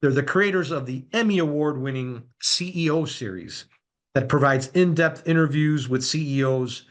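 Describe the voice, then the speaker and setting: A man's voice: mid-range voice, fairly bright tone. Effusive male podcast host. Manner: effusive, repeats words for emphasis. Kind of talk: podcast intro and interview.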